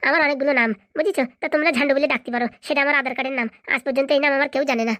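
Wily cartoon village man played by a woman: high-pitched, placating, long-winded, very quick. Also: fairly even intonation, bright, forceful tone, dry recording. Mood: pleading. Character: pleading, talkative male visitor, wily and persistent. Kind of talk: comic cartoon dialogue.

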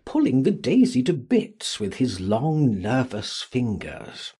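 Slow, very raspy male narrator. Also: mid-range voice, huge pitch swings, dark tone. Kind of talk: scripted audiobook narration.

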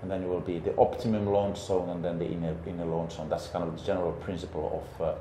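A middle-aged man calmly coaching a pilot step by step in a flight simulator, encouraging and full of pauses and fillers. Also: low-pitched. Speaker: flight instructor. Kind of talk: patient step-by-step instruction. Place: indoor flight simulator dome. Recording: roomy with background hum.

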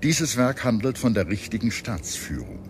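Deep-voiced, expressive male documentary narrator. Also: moderate pace, a little hiss or hum. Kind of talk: scripted documentary narration with dramatized quotes. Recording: music under the voice. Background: background music.

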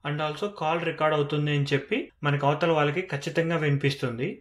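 Fast-talking, higher-pitched Telugu-accented man. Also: flat delivery. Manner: explanatory, mixes English terms into speech. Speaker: tech video presenter. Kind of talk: phone feature walkthrough narration.

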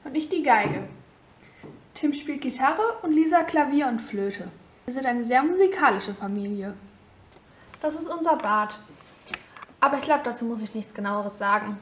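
A chatty thirty-something mother giving a home tour in a homemade toy-figure film, voiced by a girl. The voice sits high and is recorded in a roomy, echoey space. The delivery is casual and friendly. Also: animated, normal speed, long pauses, a bit bright, a little hiss or hum. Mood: chatty cheerful. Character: cheerful mother of two, thirty-three-year-old housewife. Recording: roomy echo.

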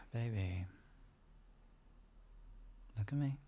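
A man playing a gentle, doting boyfriend in an improvised ASMR roleplay, coaxing softly and reassuringly in an intimate, husky, gravelly voice. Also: mid-range voice, extremely slow. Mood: gentle concern. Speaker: voice actor in audio roleplay.

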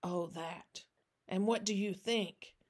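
A woman voicing a weary, burdened, kindly old man in a storybook reading, speaking in a hushed, grave, halting way. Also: medium pitch. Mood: subdued.

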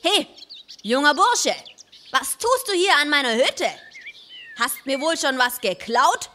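An actress playing a gruff but fair cheesemaker woman in an audio fairy tale, brisk in manner. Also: high voice, expressive, pushed and bright, heavily acted. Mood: suspicious.

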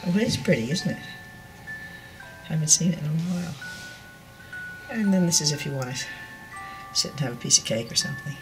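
A woman's voice: deep voice, expressive, fairly quick, long pauses, rough recording. Older lady doing a casual home tour, rambly and chatty, music playing in the background. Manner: chatty, meandering, trailing off and correcting herself. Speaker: dollhouse owner giving a tour. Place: indoors at home, filming a dollhouse.

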